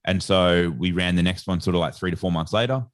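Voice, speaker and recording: A man's voice: low-pitched, fairly quick, no echo, clean studio sound. An Australian man talking as a podcast guest in a casual, rambling way, full of "like" and "sort of.". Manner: rambling storyteller, heavy on "like" and "sort of". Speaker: guest, sports nutritionist.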